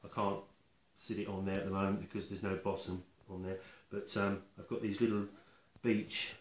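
An older man giving a casual step-by-step demonstration, halting and matter-of-fact as he thinks aloud while working. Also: low-pitched, level tone. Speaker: woodworker demonstrating his build. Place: concrete-block workshop and house room. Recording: echoey room, faint noise, handling clicks.

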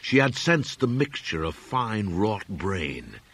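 Husky, low-voiced male horror narrator. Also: very animated, slow, a bit bright. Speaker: audiobook narrator. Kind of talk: dramatic scripted narration of a horror story.

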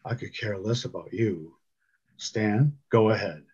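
Man voicing a low, menacing, growling villain. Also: measured pace, darkish tone, husky, lo-fi sound. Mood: smug threat. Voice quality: deep, growling, rumbling. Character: menacing unseen villain, deep growling, barely human.

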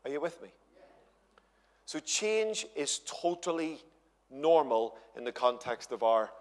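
A man's voice: a little high, big pitch swings, takes it slowly. A man, preaching at a church conference with a chatty, humorous storytelling manner. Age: 50s. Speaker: guest conference speaker. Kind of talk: conference sermon to a live audience. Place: large church hall with stage and audience. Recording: long hall reverb.

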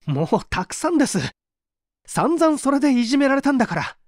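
A man plays a cornered male suspect in a crime audiobook. His voice rides high and swings widely as he pleads and protests, agitated and defensive, in a dry recording. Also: big pitch swings, brisk, no echo. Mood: bitter, exasperated. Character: distressed, defensive male murder suspect under interrogation. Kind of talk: dramatic audiobook reading.

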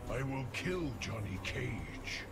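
A man's voice: mid-range voice, big pitch swings, takes it slowly. A man voicing an imperious, coldly confident immortal guardian in a fighting game. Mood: cold taunting. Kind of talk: fighting game character dialogue. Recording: loud game music behind.

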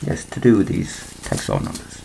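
A man's voice: a little fast, warm tone, slight background noise, rough recording. A man reads a letter aloud in a small room at home, breaking off often into rambling asides. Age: 60s. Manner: rambling, breaks off his reading to comment. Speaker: vlogger.

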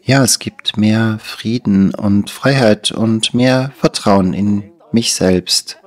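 A Dutch man in his sixties with a very low, deep voice talks as an interview guest in a small studio. He is speaking German, which is not his first language, so his Dutch accent comes through. He tells his story thoughtfully and without hurry, close to a lapel mic. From Netherlands. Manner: thoughtful, unhurried, gestures while talking. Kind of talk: reflective conversational storytelling.

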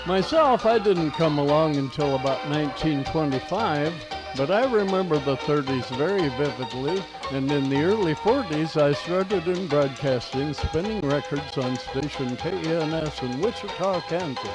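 A man's voice: fairly high pitch, expressive, normal speed, hardly any pauses, low-quality recording. An American man in his eighties hosting old-time radio, with a folksy, theatrical announcer delivery and a nostalgic scripted style. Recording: home cassette tape with music.

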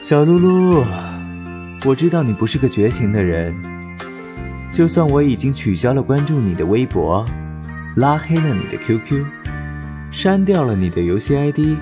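A man playing a melodramatic, clingy, self-pitying young boyfriend in a comic audio drama, with cheeky, mock-solemn and drawn-out delivery. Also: medium pitch, very animated, over music, close to an everyday voice.

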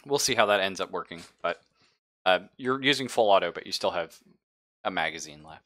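A man's voice: deep voice, animated, brisk, fairly bright tone. A man acting as game master, casually explaining rules and narrating the action in an informal, jokey way. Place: online game session over voice chat.

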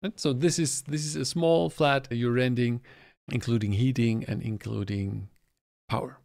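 A man's voice: medium pitch, steady tone, normal speed, gravelly, darkish tone, no room sound. A man in his forties with a German accent, hosting a podcast and explaining things casually and conversationally into a close desk microphone. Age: 40s. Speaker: podcast host. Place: small furnished home office, indoors.

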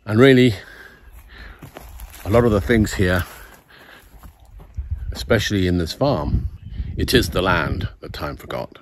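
A low-voiced man in his fifties talks through his restoration work in an informal vlog. He explains things with a wry touch. The handheld phone recording has noticeable background noise. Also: animated, a little slow, pauses often. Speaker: vlogger restoring an old farm. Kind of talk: informal narrated video tour. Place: outdoors on farm land and inside stone barn. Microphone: handheld phone at arm's length. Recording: clear background noise, clicks.

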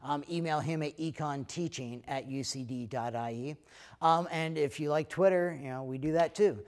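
A middle-aged American man, head of an economics school, talking casually to prospective students in a relaxed way, with many fillers. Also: a little high, normal speed. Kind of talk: recorded talk to prospective students. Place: lecture room, then plain backdrop indoors.

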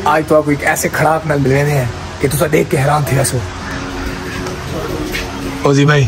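A man's voice: a little high, level tone, normal speed, poor audio. A young man with a Saraiki accent hosting a street vlog, teasing people and laughing often, recorded outdoors in a courtyard on a phone camera. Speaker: vlogger host. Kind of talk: joking street vlog banter.

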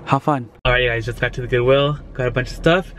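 A man in his thirties vlogging casually into a handheld camera, chatty and excitable, talking straight to his viewers. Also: mid-range voice, fast talker, a little hiss or hum. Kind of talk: casual vlog narration. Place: car, outdoor yard and thrift store.

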